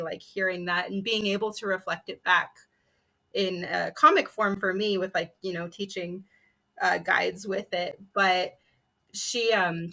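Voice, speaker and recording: A woman's voice: medium pitch, gravelly, pushed and bright, low-quality recording. A woman telling personal stories in a panel discussion, in a casual, conversational way. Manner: casual, peppers talk with "like". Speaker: panelist, comic artist and illustrator.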